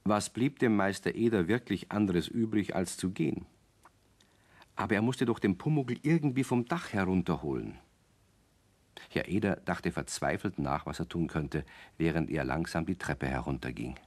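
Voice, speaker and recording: A man as the narrator of a children's radio play, reading scripted story narration in an unhurried way. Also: low-pitched, lively intonation, a little mellow. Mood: calm.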